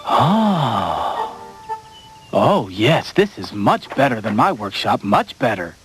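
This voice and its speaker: A cocky young cartoon tailor, boastful and eager. The delivery is theatrical, with lively swings of pitch, and music plays clearly behind it. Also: male voice, takes it slowly. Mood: pleased, boastful. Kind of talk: animated fairy tale cartoon acting.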